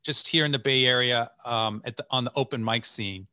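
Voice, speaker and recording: A man's voice: medium pitch, fairly quick, sharp tone, clean tone, no room sound, clean studio sound. A man in his forties hosting a podcast, chatting enthusiastically with a guest over a video call. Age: 40s. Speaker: podcast host. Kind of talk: casual interview chat.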